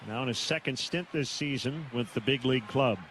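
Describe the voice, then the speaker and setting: A man's voice: mid-range voice, a little fast, fairly bright tone, low-quality recording. A man doing live baseball play-by-play as a radio announcer from a stadium broadcast booth. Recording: clear noise behind the voice. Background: outdoor ambient stadium noise.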